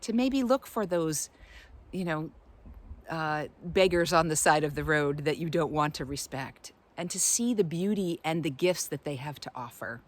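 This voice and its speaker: A woman giving an unscripted, reflective inspirational talk as a spiritual guide, often pausing mid-phrase to find her words. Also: low-pitched.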